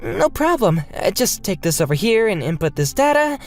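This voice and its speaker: A man's voice: high-pitched, very animated, moderate pace, voice pushed up. Breezy, confident male hacker tutor a man acting. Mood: upbeat. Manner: breezy, self-assured. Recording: music bed behind the voice.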